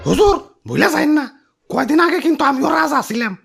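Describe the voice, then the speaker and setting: A woman's voice: sits high, big pitch swings, fast, creaky, soft background music, full character voice. A woman voicing a small cartoon chili pepper minister and proud ex-king, servile yet argumentative, with a Bangladeshi accent. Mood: cheeky. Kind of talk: comic cartoon acting.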